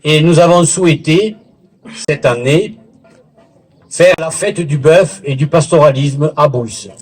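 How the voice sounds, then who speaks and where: A man giving a formal public speech as a local official, in a deliberate, theatrical oratorical manner with pauses and a husky voice. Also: fairly high pitch, poor audio. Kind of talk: formal public speech at a village festival, with literary recitation. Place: village festival in Bouisse, addressing a gathering.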